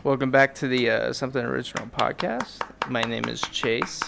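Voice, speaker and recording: A man's voice: mid-range voice, normal speed, creaky, fairly bright tone, slight background noise. A man hosting a podcast in casual, joking chat, teasing and rambling as he goes. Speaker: podcast host.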